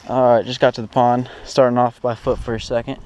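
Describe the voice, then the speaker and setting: A man's voice: mid-range voice, flat delivery, brisk. A young man chatting casually and informally as he vlogs about fishing, recorded outdoors on an action camera. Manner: informal, slangy. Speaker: fishing vlogger. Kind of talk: casual first-person vlog chat. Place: outdoors, at a car trunk and on a small pond boat. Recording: clearly noisy outdoor action-camera audio.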